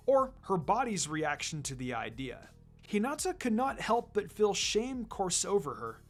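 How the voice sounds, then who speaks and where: Man narrating a story over soft music. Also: high voice. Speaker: fan fiction reader. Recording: music behind the reading.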